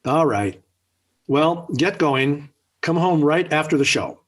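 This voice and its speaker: A man's voice: on the high side, animated, takes it slowly. An American actor playing a genial, talkative middle-aged suburban father in a 1940s radio sitcom, hemming a bit and then turning firm. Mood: fatherly, hemming. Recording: slightly rough 1940s radio recording.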